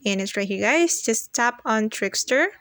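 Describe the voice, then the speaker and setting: A woman hosting a casual step-by-step software tutorial as a voice-over. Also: fairly high pitch, animated, unhurried, dry recording, clean studio sound. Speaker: tutorial host.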